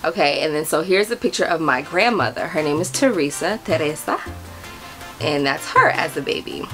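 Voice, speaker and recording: A woman's voice: on the low side, fairly bright tone. A young American woman chatting casually to camera in a vlog, bubbly and giggly with lots of fillers. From United States. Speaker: vlogger.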